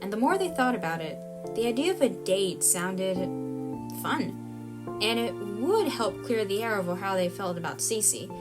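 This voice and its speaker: Female narrator for an audio drama, mid-range pitch, scripted storytelling with clear music underneath. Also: expressive, moderate pace.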